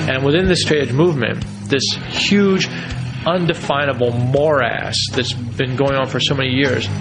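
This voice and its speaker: Articulate mid-pitched man over music. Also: vocal fry, low-quality recording. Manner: articulate, wordy, explanatory. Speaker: documentary interviewee. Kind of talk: reflective interview talk.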